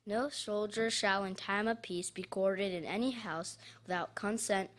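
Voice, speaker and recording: A woman's voice: medium pitch, steady tone, moderate pace. A woman reading a formal legal text aloud at a public event. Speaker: reader.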